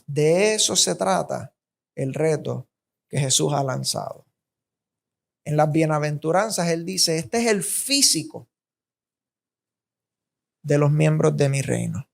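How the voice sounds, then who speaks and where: A man's voice: a little high, lively intonation, slow pace, creaky, pauses often. A man from Puerto Rico with a Puerto Rican accent, preaching a sermon with emphatic, rhythmic repetition that rises on key points. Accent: Puerto Rican Spanish.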